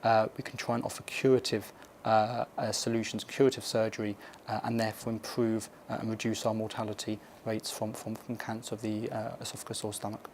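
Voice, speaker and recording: A man speaking as a hospital consultant, giving careful, formal and halting interview answers for an educational film. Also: lowish voice, level tone, darkish tone, a little hiss or hum.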